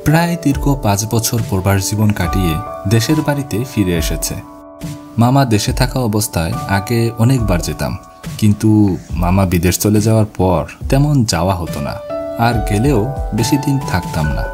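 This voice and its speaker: A man's voice: fairly low pitch, expressive, moderate pace. A man reading scripted first-person ghost story narration for an animation. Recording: music bed behind the voice. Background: music.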